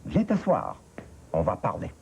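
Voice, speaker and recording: A man voicing a kindly storytelling talking mirror with a Quebec French accent, speaking gently and coaxingly. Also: wildly swooping pitch, unhurried, creaky, slight background noise, heavily acted. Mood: amused. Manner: gentle, coaxing, swooping pitch.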